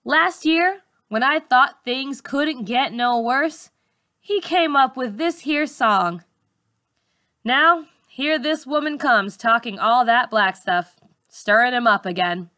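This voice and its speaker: A woman reading a first-person story aloud in an expressive, dramatic teacher's storytelling style. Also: high-pitched, slow, clear, resonant tone.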